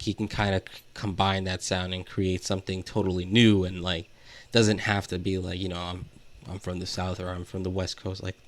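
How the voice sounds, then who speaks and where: Man, low pitch, podcast co-host, casual discussion, rambling, hesitant, filler-heavy.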